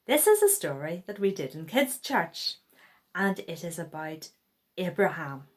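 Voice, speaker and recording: A woman reads a story aloud for children at a slow, unhurried pace. Her delivery is expressive and her pitch moves widely up and down, bringing out the dialogue as she narrates. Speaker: children's Bible story reader.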